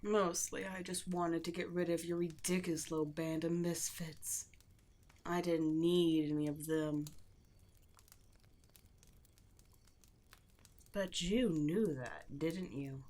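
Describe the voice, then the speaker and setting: A woman playing a seductive, condescending demon queen in a scripted ASMR roleplay, gloating at the listener and dropping at times into breathy whispers. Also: on the low side, fairly even intonation, darkish tone, lightly acted. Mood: smug, reminiscing. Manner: slow, taunting, condescending, drops into breathy whispers.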